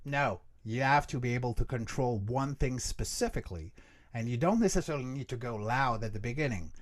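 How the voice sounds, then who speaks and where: A man speaking conversationally as an interview guest, explaining vocal technique in an animated teaching way and checking in with the listener. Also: medium pitch, fairly quick, dry recording. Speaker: creature voice actor, interview guest.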